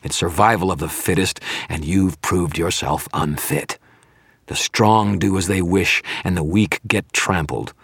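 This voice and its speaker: A man performing a harsh, snarling, villainous dark wizard. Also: low-pitched, fairly even intonation, measured pace, gravelly, slightly rough, no echo, studio quality, heavily acted. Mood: snarling contempt. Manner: taunting, shouting, contemptuous.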